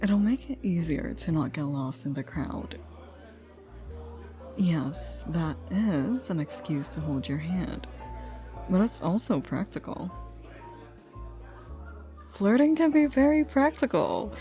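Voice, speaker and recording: A woman's voice: on the low side, sing-song, warm tone, soft background music, exaggerated voice. A woman acting a flirty, teasing stranger in an intimate ASMR roleplay, coaxing playfully. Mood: flirty, inviting. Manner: playful, coaxing, drawn-out teasing with breathy asides. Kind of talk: ASMR roleplay, intimate one-sided dialogue.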